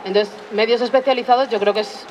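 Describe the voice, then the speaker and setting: Young adult speaker making a measured point outdoors. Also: medium pitch, fairly even intonation, some chatter behind. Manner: measured, explanatory, frequent filler sounds. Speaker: audience member at a public assembly. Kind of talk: spontaneous public debate contribution. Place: outdoor street assembly. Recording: outdoor recording with faint street noise.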